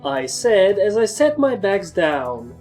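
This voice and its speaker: A woman's voice: medium pitch, sing-song, takes it slowly, darkish tone, soft background music. A woman reading first-person fan fiction as a scripted story narrator.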